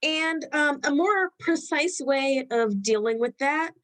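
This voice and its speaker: A woman with a Rhode Island accent hosting a live health webinar, talking loosely and thinking out loud as she goes. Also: high-pitched, lively intonation, slow pace. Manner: loose, conversational, thinks aloud while reading the chat. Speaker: webinar host.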